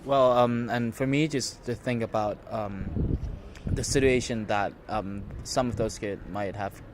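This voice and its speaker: A young man from Thailand giving halting, thoughtful answers as a student in an outdoor interview. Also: fairly low pitch, fairly even intonation. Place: outdoors in a city. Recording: noisy outdoor street ambience.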